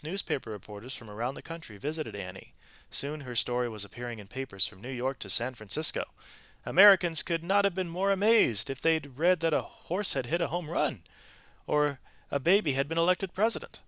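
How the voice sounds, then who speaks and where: A man narrating a children's story aloud in an expressive, animated way, with varied emphasis from phrase to phrase. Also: on the high side, a little fast, dry recording.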